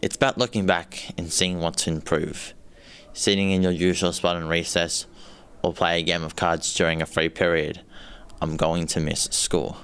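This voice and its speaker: Deep-voiced young student. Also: male voice, level tone, moderate pace, fairly bright tone, clean tone. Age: late teens. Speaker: student leaving high school. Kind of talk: reading a prepared reflection.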